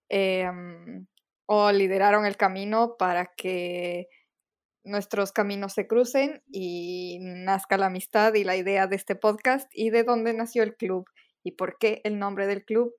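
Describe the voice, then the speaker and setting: Giggly young woman co-host. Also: medium pitch. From Ecuador. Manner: giggly, chatty, frequent fillers. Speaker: podcast co-host. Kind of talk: casual chat.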